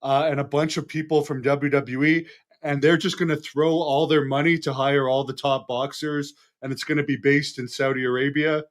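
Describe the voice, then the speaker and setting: Brief: male host, eager and informed, fairly high-pitched, casual chat. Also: flat delivery, normal speed.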